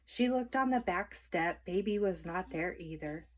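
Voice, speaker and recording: A woman storyteller reading a children's book aloud in an expressive, measured, emphatic narrating style. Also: fairly low pitch.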